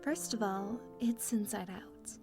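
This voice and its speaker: An actress playing a self-assured dress designer in an audio drama, composed and matter-of-fact. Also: female voice, medium pitch, unhurried, music in the background, natural voice.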